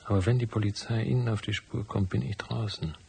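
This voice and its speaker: Gravelly, laconic hard-boiled detective. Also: male voice, on the low side, fairly even intonation, fairly quick, a little mellow, heavily acted. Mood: dry, wary. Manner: terse, dry, matter-of-fact. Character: hard-boiled, cynical male private detective, laconic and world-weary. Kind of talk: German radio drama acting and first-person narration.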